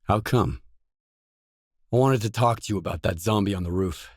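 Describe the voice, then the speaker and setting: Low-voiced, terse stalker. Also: male voice, normal speed, breathy edge, no room sound. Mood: businesslike. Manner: terse, dry, self-assured. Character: gruff, guarded male stalker, lone survivor for hire. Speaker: video game protagonist. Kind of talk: video game dialogue lines.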